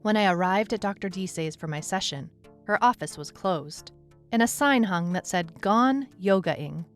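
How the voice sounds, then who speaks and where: Female detective lead actress, audio drama. Wry, anxious first-person noir narration at medium pitch, light music bed. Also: animated, normal speed, lightly acted. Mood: wry, reflective.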